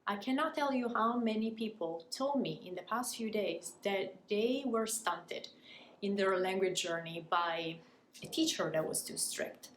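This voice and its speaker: An Italian woman with an Italian accent speaks as a language coach in a prepared lesson to viewers. Her voice sits at a middling pitch, and she phrases things articulately with deliberate emphasis. The room is noticeably echoey, with faint background noise. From Italy. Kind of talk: prepared educational talk to viewers.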